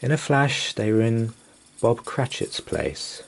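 A man reading a rhyming children's story aloud as a storyteller, with deliberate, theatrical expression. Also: mid-range voice, sing-song, vocal fry. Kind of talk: dramatic rhyming bedtime story narration.

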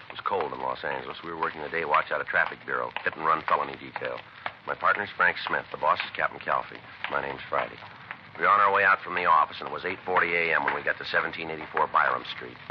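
A man playing a deadpan, polite American police detective sergeant in clipped 1950s radio style. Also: rumbling low, fast talker. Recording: dull, noisy 1950s radio recording.

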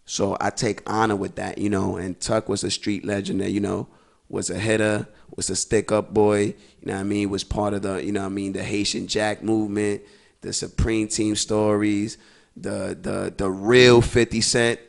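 A young man talks casually as a musician guest in a small studio. His low, gravelly voice holds a flat, even pitch. He mixes English and Spanish with a streetwise ease. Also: moderate pace. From East New York, Brooklyn. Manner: switches between English and Spanish, streetwise, repeats "you know what I mean". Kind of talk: casual interview talk. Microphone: studio mic with headphones.

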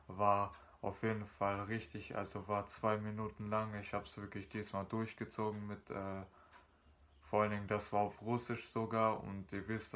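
A man's voice: on the low side, a bit bright. A young man from Germany vlogging casually to his webcam in a small room, rambling with many fillers and restarts. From Germany, North Rhine-Westphalia. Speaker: YouTuber. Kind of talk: casual vlog chat to camera.

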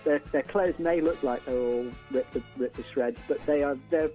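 A middle-aged male musician chatting casually as an interview guest, halting and repeating words for emphasis. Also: a little high, dark tone, lo-fi sound, faint music behind.

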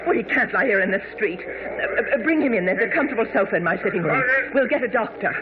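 An actress playing a gracious, composed young wife in a radio drama, polite and solicitous. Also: female voice, medium pitch, animated, quick pace, lightly acted. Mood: concerned. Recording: muffled, hissy old radio broadcast.

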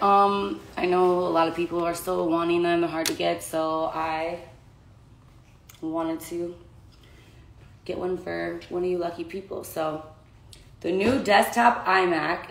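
A young woman with a raspy voice chatting casually to followers on a phone livestream about a giveaway. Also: lowish voice, reverberant, a little hiss or hum. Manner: chatty, laughing, talking to her dogs between points. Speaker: social media influencer. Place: small bedroom indoors. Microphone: phone at arm's length.

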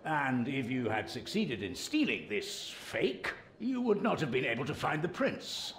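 A stern, crafty royal spymaster for a video game. He speaks in formal, deliberate phrases with a lively, swinging pitch. The voice sits in a roomy echo. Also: slight background noise. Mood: grave, insistent. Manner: formal, deliberate, measured diction. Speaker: spymaster character.

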